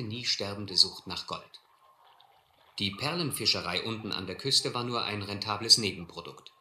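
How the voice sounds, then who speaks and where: A man acting a shrewd, adventurous seafarer in a radio drama, deliberate and controlled with a touch of irony. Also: fairly low pitch, bright, forceful tone. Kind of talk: radio drama acting, first-person narration and dialogue. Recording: roomy, slightly noisy old radio-play recording.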